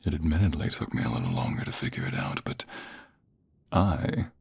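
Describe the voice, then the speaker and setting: A man playing a smug, flirtatious office rival in an intimate ASMR roleplay, taunting in a deep, breathy, gravelly near-whisper. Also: wildly swooping pitch, a little mellow, heavily acted. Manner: slow, deliberate, taunting, drawn-out phrases. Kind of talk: ASMR romantic roleplay, intimate second-person acting.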